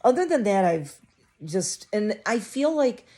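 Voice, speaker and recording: A woman's voice: medium pitch, sing-song, measured pace, warm tone. A middle-aged woman vlogger chatting casually to camera from her living room, rambling and interrupting herself, a little stuffy with a scratchy throat.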